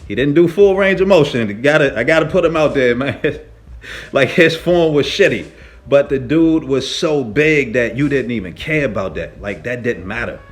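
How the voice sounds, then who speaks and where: A man telling a story casually as a lifting vlogger, with an animated, slangy and emphatic way of talking. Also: medium pitch, normal speed. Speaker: fitness vlogger, powerlifter.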